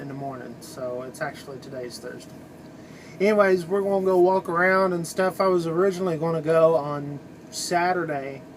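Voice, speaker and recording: A middle-aged American man, a truck driver, rambling casually in a halting, conversational way to his vlog. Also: on the high side, noisy background.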